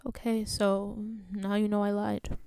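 A woman acting in a radio play as a guarded genocide survivor and refugee, speaking in a measured, grave and earnest way. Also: mid-range voice, flat delivery, mellow, clean tone, no room sound, studio quality, lightly acted. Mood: guarded, resigned.

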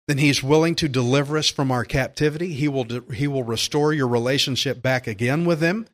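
A man preaching a sermon, earnest and emphatic, slowing down to stress key phrases. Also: on the high side, fairly bright tone. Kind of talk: sermon with scripture readings.

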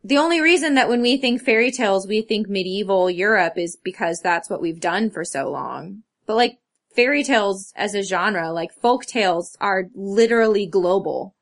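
A woman co-hosting a casual podcast chat, talking earnestly and haltingly, with "like" scattered all through. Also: mid-range voice, lively intonation. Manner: earnest, halting, filler-heavy with frequent "like". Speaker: podcast co-host. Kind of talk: casual chat about fandom and stories.

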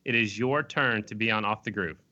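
A man's voice: fairly low pitch, brisk, sharp tone, lo-fi sound. A man hosting a podcast interview, asking questions in a casual, friendly way. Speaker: podcast host.

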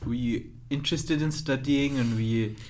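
A man's voice: medium pitch, normal speed, darkish tone. Guy interviewing, mid voice, keeps rephrasing his questions. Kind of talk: casual interview.

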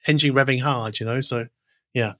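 A man's voice: medium pitch, fairly even intonation, moderate pace, fairly bright tone, no echo. Interview host, male, middle-aged. Reflective, curious delivery, mid-range voice, tight dry sound. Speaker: podcast host interviewing an audio engineer. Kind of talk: conversational technical interview.

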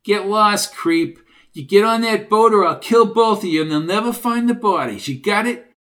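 Short-tempered, suspicious male crook acted by a man reading a story, raised pitch, snappy, slangy delivery. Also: expressive, normal speed, a bit bright. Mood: menacing. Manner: blunt, snappy, slangy tough talk.

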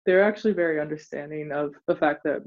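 A man answering interview questions in a casual, chatty way, chuckling often and hedging with soft qualifiers. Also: fairly high pitch, quick pace. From United States. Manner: chuckles often, hedges with "maybe" and "kind of". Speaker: interview guest, former exchange student. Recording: dull lo-fi recording.

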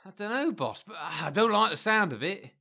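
An actor playing a loyal gangster's henchman in a dark comedy crime drama, deferential, soothing and matter-of-fact. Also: sits high, animated, moderate pace, vocal fry, exaggerated voice. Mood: placating.

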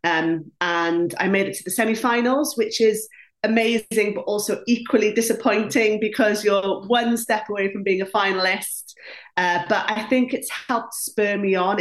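A woman in her late thirties whose first language is Welsh, chatting enthusiastically about her career in an interview with frequent ums. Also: medium pitch. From Wales. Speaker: guest, dietitian and former baking contestant. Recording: roomy echo.

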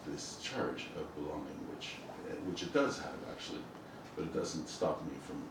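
Halting man, deep voice, echoey room. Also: big pitch swings. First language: Spanish. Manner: thoughtful, halting, self-correcting. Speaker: author speaking at a book event. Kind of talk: reflective informal talk. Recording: echoey room from a distant mic, noisy.

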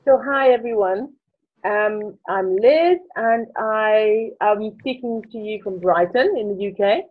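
A woman's voice: medium pitch, slow. French-accented woman on a tinny line. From France. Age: 40s. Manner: thoughtful, searching for words, with restarts. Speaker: guest, coach. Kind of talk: reflective interview answers.

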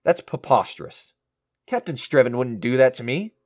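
An actor playing a smug, scheming murderer after an inheritance in an audio drama, speaking theatrically. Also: male voice, medium pitch, animated, moderate pace, darkish tone, natural voice. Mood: indignant. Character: scheming murderous male heir, smug, feigning grief.

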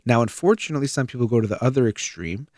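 A man hosting a show, giving a reflective, measured talk on parenting with thoughtful pauses. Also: medium pitch, animated, a little mellow, dry recording, studio quality. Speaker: host.

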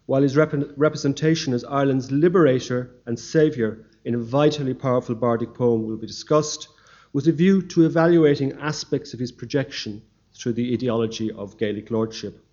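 A man delivers a formal history lecture, reading his paper at an even, measured pace. His pitch sits in an ordinary middle range. The recording is dull and lo-fi.

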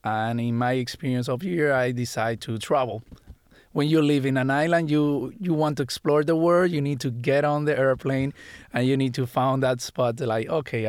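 A male outdoor guide with a Puerto Rican Spanish accent answers questions in uneven English, friendly and quick to laugh. His voice is smooth and resonant. The studio sound is dry and close. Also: mid-range voice, no room sound. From Puerto Rico. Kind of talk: interview answers. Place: radio studio.

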